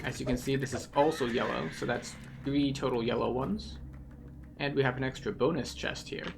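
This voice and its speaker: Creaky-voiced man guiding a game walkthrough. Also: mid-range voice, moderate pace, noisy background. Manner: methodical, explanatory. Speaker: game guide host. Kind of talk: guided video game walkthrough commentary.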